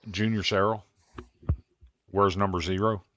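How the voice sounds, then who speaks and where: A man's voice: on the low side, flat delivery, a little slow, fairly bright tone, highs cut off. A man in his fifties with an American accent, telling stories in casual sports talk as a show guest. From Iowa, United States. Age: 50s.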